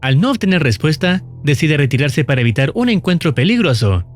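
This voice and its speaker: A man giving scripted, explanatory narration in a measured, formal storytelling manner. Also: a little high, big pitch swings, faint music behind. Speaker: narrator of a horror series recap.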